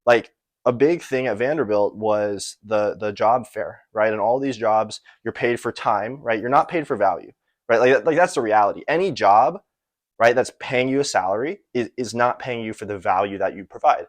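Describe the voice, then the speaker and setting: A man's voice: on the low side, moderate pace, no echo. A young American man talking casually and unscripted like a business coach, rambling a little and often checking in with the listener. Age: young adult. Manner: conversational, rambling, often tags phrases with "right?". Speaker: online business and sales coach. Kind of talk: casual unscripted motivational explainer to camera.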